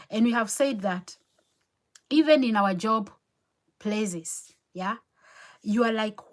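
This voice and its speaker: A Kenyan woman, native Kikuyu speaker, hosting a motivational live stream, earnest and encouraging, repeating her key phrases. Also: on the high side. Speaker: motivational livestream host. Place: home in New York City.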